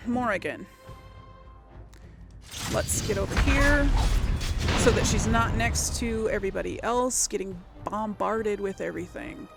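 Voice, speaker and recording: A woman's voice: mid-range voice, a little slow, gravelly, pauses often, loud music behind the voice. A woman giving casual let's play commentary, hesitantly thinking aloud as she plays. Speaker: gaming video host.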